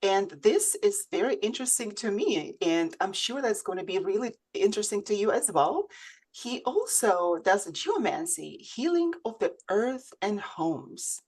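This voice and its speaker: A woman's voice: mid-range voice, sing-song, a little slow, a little mellow. A woman hosting a conversational interview show, speaking over a remote video call. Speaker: host.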